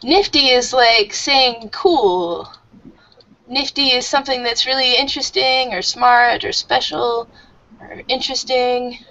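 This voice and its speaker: Friendly English teacher woman, higher voice. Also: sharp tone, lo-fi sound. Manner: friendly, explains slowly with fillers. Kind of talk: online class teaching.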